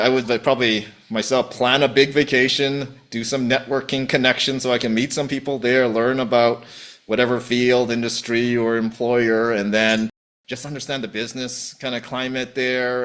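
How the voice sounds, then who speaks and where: A man's voice: mid-range voice, fairly bright tone. A man hosting a podcast, asking and summing up in a loose, rambling way full of fillers. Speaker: podcast host. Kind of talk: casual interview chat.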